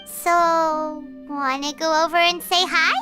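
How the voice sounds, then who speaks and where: A woman's voice: very high voice, fairly even intonation, slow pace, pushed and bright, faint music behind, full character voice. A woman voicing a small, bubbly, curious female fairy companion in a video game. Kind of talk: game voice acting.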